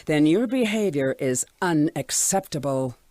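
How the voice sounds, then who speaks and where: An actor playing a serene but eerily cheerful radio DJ with a deliberate, reassuring announcer delivery. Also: medium pitch, animated, darkish tone, dry recording, close to an everyday voice. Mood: uncanny calm. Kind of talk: scripted fictional radio station patter.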